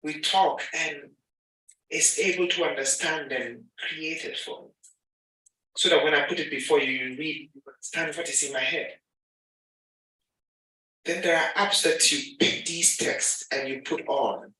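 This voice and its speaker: A man's voice: fairly high pitch, measured pace, pauses often, a little hiss or hum. Ghanaian man speaking in an echoey hall. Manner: animated, acts out dialogue. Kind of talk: lively talk to an audience. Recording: echoey hall, mic at a distance.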